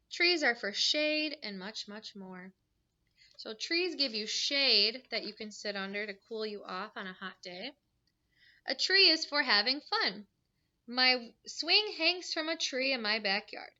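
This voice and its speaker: A woman's voice: high voice, sharp tone, highs cut off. A woman teacher reading a picture book aloud to young children, adding simple explanations and questions in an instructive way.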